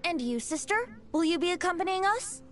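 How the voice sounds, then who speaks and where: A woman's voice: very high-pitched, expressive, a little fast, soft background music. A woman voicing a playful, whimsical young male bard in a video game, theatrical and eloquent with a teasing manner. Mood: curious.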